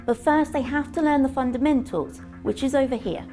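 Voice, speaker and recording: British woman reporter talking to camera, bit high pitched, music under it. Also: fairly quick. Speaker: news reporter. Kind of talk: piece to camera and interviewing.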